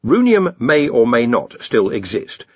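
A male reader acts an impassive thinking machine from a fantasy storybook. It reports precisely and literally in a middling, slightly husky voice, with a faintly muffled sound. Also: medium pitch, very animated, a little slow, dry recording.

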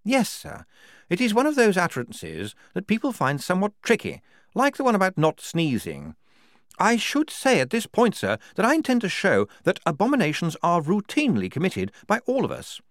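A man acts out a brisk army major from an audiobook. His voice sits high and moves around a lot. He is tetchy and principled, arguing with formal precision. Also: huge pitch swings, no echo, clean studio sound. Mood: pedantic, assured. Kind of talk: audiobook character dialogue.

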